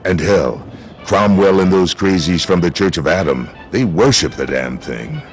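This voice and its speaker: A folksy frontier lawman for a video game. His voice is exceptionally deep and gruff, and he talks plainly, with easy authority. Soft music plays faintly underneath. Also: animated, moderate pace. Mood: businesslike, wary. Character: gruff, folksy frontier town sheriff and mayor. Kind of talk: video game character dialogue.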